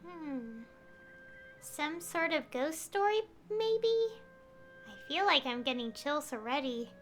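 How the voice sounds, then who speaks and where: A woman's voice: high-pitched, very animated, slow pace, fairly bright tone, soft background music. A woman streamer reading a video game character's dialogue aloud in an acted read. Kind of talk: voicing game lines on a livestream.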